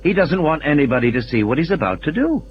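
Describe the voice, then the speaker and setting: A man voicing a measured, analytical scientist who probes and reasons aloud in an audio play. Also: on the high side, sing-song, dull audio, slight background noise. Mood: reasoning. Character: questioning male scientist colleague, measured and analytical.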